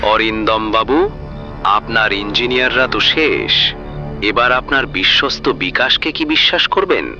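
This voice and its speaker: A man's voice: on the low side, unhurried, muffled recording. A man playing a taunting, theatrical mastermind villain in an audio thriller, heard through a walkie-talkie filter. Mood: goading. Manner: mocking, theatrical, goading. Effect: walkie-talkie radio filter. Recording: band-limited walkie-talkie sound, faint music.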